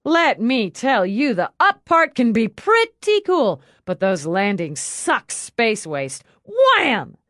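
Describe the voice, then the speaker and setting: A woman's voice: a little high, huge pitch swings, slow, few pauses, fairly bright tone, no room sound, studio quality, pitched above the performer's own voice, exaggerated voice. A woman voicing a tough, foul-mouthed policewoman who is brash and blurting, in an audiobook drama. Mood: giddy, loopy. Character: tough, foul-mouthed policewoman turned giddy and goofy by painkillers.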